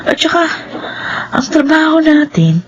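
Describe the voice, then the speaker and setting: A woman's voice: high-pitched, very animated, unhurried, muffled recording, a crowd in the background. A woman with a Filipino accent playing an earnest, fearless young embalmer in a radio horror drama. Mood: earnest, unafraid.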